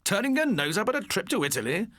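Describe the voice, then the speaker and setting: A reproachful middle-aged parent, acted by a man, scolds in a storybook reading. The voice is slightly high and very expressive, with a dry studio sound. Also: quick pace, dry recording, heavily acted. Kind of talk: audiobook character voice.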